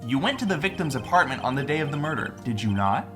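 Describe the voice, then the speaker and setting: A man's voice: mid-range voice, big pitch swings, fast, close to an everyday voice. Smug male prosecutor done by an amateur man, formal, needling, varied intonation, game dub with music behind. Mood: smug, accusing. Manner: formal, pointed, condescending. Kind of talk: video game fan dub.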